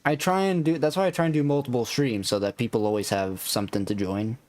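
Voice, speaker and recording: A man's voice: medium pitch, fairly even intonation, fast talker, a little mellow. A man with a soothing voice chatting casually and thinking aloud as he plays a game on a live stream, in a laid-back, rambling way. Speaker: live streamer playing a factory-building game. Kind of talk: casual live-stream chat and gameplay commentary. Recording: clear background noise behind the voice.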